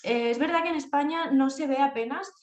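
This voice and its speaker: A woman's voice: high-pitched, brisk, roomy sound. A woman with an accent from Spain, giving conversational answers as an interview guest. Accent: Spanish from Spain. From Castilla-La Mancha, Spain. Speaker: interview guest, freelance project manager. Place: indoors at home, echoey room.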